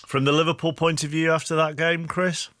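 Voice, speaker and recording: A man's voice: fairly high pitch, little pitch movement, fairly quick, pushed and bright, no echo. Dry, close-sounding male sports host. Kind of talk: football discussion, putting questions to panel.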